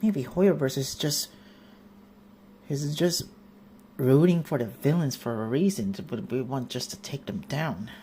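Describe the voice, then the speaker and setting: A woman with a very low voice streams a game, reading along and commenting slowly. Her delivery is halting, with noise around it. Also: dark tone. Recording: noisy phone livestream.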